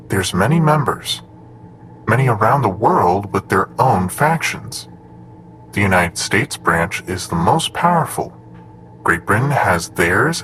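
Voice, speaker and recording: A man playing a composed android assistant in an audio drama, speaking in a clinical, measured, matter-of-fact manner. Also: on the low side, a bit bright, a little hiss or hum. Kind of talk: scripted audio drama dialogue.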